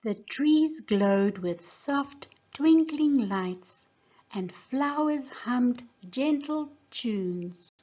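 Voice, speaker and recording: A woman teacher giving a scripted lesson and narrating a storybook in a deliberate, simple way for young learners. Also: sits high, lively intonation, mellow, breathy edge, dull audio. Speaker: English teacher reading a children's story.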